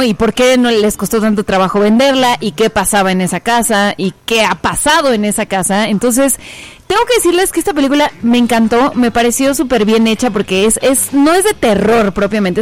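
A woman on a talk show, recommending a film in animated, casual chat. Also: on the high side. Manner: animated, quick to exclaim.

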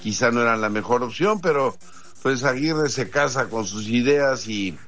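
A man with a Mexican accent giving conversational sports news commentary in a measured way, pausing between points. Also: mid-range voice, a bit bright. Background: music.

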